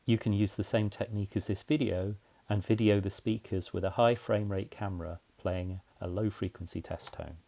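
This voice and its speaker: A man's voice: low-pitched, creaky, warm tone. A man narrating a scripted explainer in a methodical, plain-spoken way, as a tutorial presenter.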